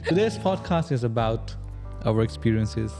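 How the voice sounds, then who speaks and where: A man's voice: mid-range voice, expressive, normal speed, over music. Laughing Pakistani-born man hosting a podcast. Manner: jokey, laughs often, loose run-on phrasing. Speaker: podcast host. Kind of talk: casual chat.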